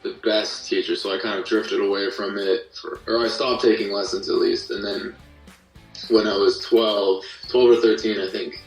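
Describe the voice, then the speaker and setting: A man speaking as an interview guest in casual chat, haltingly rephrasing as he tells his own story. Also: lowish voice, little pitch movement. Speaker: podcast guest, guitarist. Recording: roomy, distant mic, faint music.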